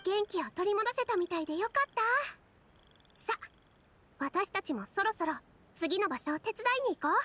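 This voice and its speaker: A woman voicing a gentle, caring young heroine in an anime-style fantasy game. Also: very high voice, expressive, normal speed, a little hiss or hum. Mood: encouraging. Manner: gentle, encouraging.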